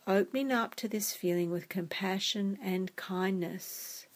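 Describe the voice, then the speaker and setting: A woman guiding a meditation in a soft, gentle, soothing way. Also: medium pitch. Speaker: meditation guide. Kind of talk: guided meditation narration.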